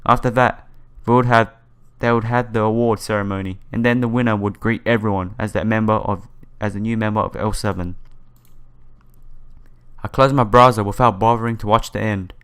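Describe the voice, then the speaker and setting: Low-voiced man doing a casual playthrough. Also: steady tone, a little fast, clean tone. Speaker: gaming video host. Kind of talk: casual let's-play, reading game text aloud with asides. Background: soft game music.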